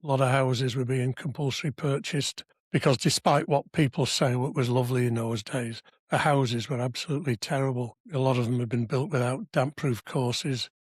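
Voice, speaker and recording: A man in his seventies from Wales with a slightly husky voice, chatting through memories in an anecdotal way with dry asides. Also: medium pitch, steady tone, normal speed, hardly any pauses, no room sound. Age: 70s. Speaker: podcast guest, civic society member. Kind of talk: conversational reminiscence. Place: podcast studio.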